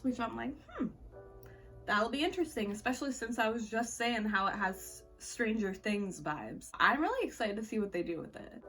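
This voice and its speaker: A woman's voice: a little high, a bit bright. A woman giving a casual, chatty book review in an informal, slangy, opinionated way. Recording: slightly distant mic, faint music behind.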